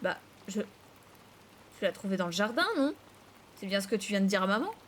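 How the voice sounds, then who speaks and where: A woman's voice: mid-range voice, expressive, moderate pace, slight background noise, natural voice. A woman voicing a hot-tempered, indignant boy from a storybook, blustering at his sister. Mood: defensive. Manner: indignant, blustering, detaching syllables when angry.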